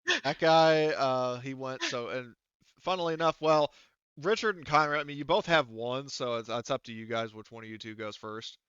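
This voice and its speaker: A man acting as game master, casually running a tabletop game and thinking aloud in a halting way, on slightly dull audio. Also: mid-range voice, lively intonation, fairly bright tone, clear, resonant tone, dry recording. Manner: halting, talks through rules while thinking aloud.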